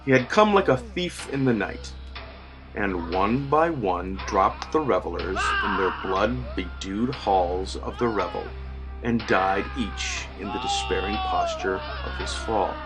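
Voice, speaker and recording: A man giving a dramatic, measured and formal scripted narration of a gothic horror story. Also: lowish voice, lively intonation, rough recording. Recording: music bed under narration. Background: background music.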